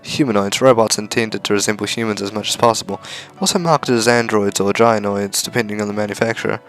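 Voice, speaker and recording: Man, gravelly creak, let's play narration, casual asides, faint music underneath. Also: lowish voice, fast talker, a bit bright. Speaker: gaming video creator reading a visual novel aloud.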